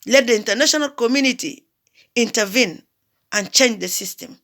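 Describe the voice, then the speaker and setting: A woman's voice: a little high, normal speed, fairly bright tone. A woman from South Sudan giving an impassioned political address, emphatic, repeating phrases and asking rhetorical questions. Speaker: diaspora commentator.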